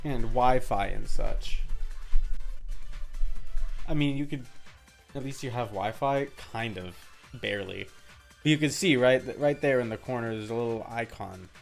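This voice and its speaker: A man's voice: mid-range voice, unhurried, gravelly, pauses often. A man giving casual live commentary on a game stream, chatting with a friend and often repeating himself. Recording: music playing behind the voice. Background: video game music.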